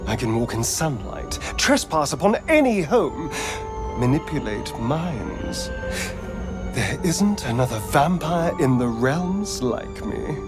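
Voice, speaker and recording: An actor playing a suave, sardonic vampire rogue in a video game, with an arch, theatrical drawl. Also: male voice, fairly high pitch. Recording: music playing behind the voice.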